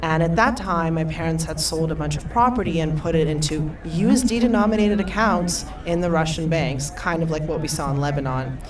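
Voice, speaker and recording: A woman in her thirties with a low voice shares how she came to her field, in an animated storyteller's manner. She sits back from the microphone, and a crowd can be heard in the room. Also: fairly quick, few pauses. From Eastern Europe. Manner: animated storyteller, laughs often. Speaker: panelist. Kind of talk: personal story on a panel. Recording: far from the mic, crowd behind.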